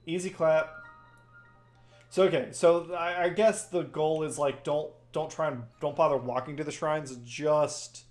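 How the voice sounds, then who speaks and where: A man's voice: a little high, fairly even intonation, measured pace, faint music behind. A man whose first language is Farsi, hosting a game stream and chatting casually with viewers by name. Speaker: streamer and host. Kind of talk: casual chat while gaming.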